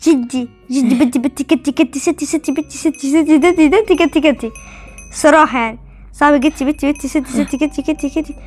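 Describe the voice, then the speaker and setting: Very high female voice, Egyptian accent, excitable, repetitive, playful gaming chatter, music and chimes behind. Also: fast. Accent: Egyptian Arabic. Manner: excitable, repeats words and phrases. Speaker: gaming video host. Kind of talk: playful gameplay commentary with a co-player.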